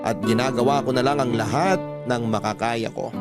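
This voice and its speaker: A man with a Filipino accent reading a letter as a radio-style story, in measured, deliberate phrases. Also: medium pitch, loud music behind the voice. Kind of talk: first-person dramatic storytelling, reading a letter. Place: dry studio, music behind.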